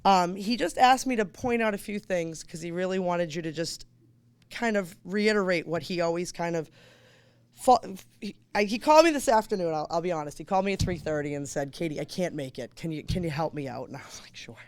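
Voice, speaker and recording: Hoarse mass. woman talking for a candidate, rambly asides, smooth clear voice, dry sound. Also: fairly low pitch, animated, a little fast, darkish tone, no echo. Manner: chatty, self-interrupting, informal asides. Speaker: campaign surrogate speaker. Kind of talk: stump speech at a candidates night.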